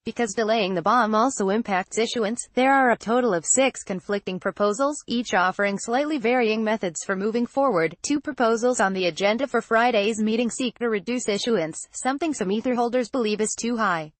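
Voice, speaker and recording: A woman's voice: on the high side, brisk, few pauses, no echo. A woman reading a scripted news article aloud in a formal manner, as a narrator.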